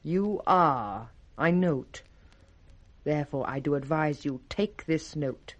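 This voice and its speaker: A woman's voice: low-pitched, animated, slow, dull audio, exaggerated voice. An actress playing a cold, jealous, scheming noblewoman, commanding and insinuating, with a British accent in 1960s radio Shakespeare.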